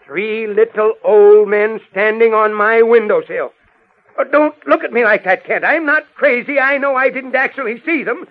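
A blustering, frazzled newspaper boss in a vintage radio drama. His middle-aged voice rides high and sputters with exasperation, hammering on repeated words. The sound is a dull old radio recording. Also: normal speed. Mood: agitated. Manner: exasperated, sputtering, emphatic repetition. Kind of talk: 1940s-50s radio drama acting.

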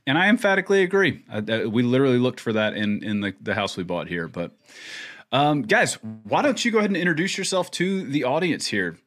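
A man hosting a casual video podcast, relaxed and joking with many restarts. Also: mid-range voice. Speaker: podcast host.

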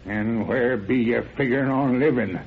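Casting: male actor as crotchety old rural American patriarch, gravelly, gruff, folksy. Old radio play, thin hissy sound. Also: mid-range voice, normal speed, natural voice. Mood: gruff, disapproving. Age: older. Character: stern, cantankerous old country father. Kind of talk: old-time radio drama acting. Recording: tinny, noisy old radio recording.

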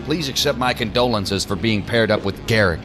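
An actor playing a brusque tactical commander in an audio drama, clipped, commanding and sarcastic. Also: male voice, fairly low pitch, brisk, over music, natural voice. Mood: curt, sarcastic.

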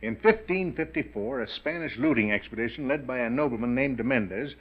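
A man's voice: medium pitch, fairly quick, slightly rough, natural voice. An actor playing a boyish, adventurous wealthy businessman, chummy and eager as he talks in a 1940s radio mystery. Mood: absorbed storytelling. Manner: hearty, chummy, storytelling. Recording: dull 1940s radio recording.

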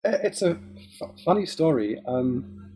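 A man's voice: a little high, very animated, measured pace, dark tone, breathy edge. A man raised in England after an early childhood in Israel, speaking English with an English accent and being interviewed about his life in music, thoughtful and hesitant with many restarts. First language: Hebrew. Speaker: guest, music academic and musician. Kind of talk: relaxed interview conversation.

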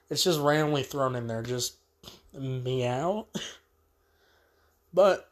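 A man with a stuffed-up voice from allergies, casually reviewing a song as host, rambling and repeating himself in easy slang. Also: on the high side.

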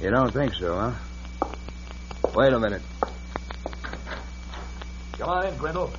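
An American actor playing a scheming, ruthless frontier land agent with a folksy drawl in an old western radio drama. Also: male voice, mid-range voice, very animated, slow pace, lo-fi sound. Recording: band-limited, noisy old radio recording.

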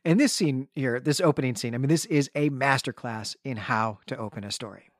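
A man's voice: mid-range voice, a little fast, no room sound, clean studio sound. A man co-hosting a podcast, recapping a story conversationally in a wordy, digressive and wryly joking way. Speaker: podcast co-host.

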